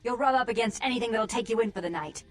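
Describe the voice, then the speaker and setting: A woman playing a vampire with two warring personalities, stern and wild, in dramatic video game acting that swings between hushed and fierce. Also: a little high, fast, lightly acted. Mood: cold, accusing.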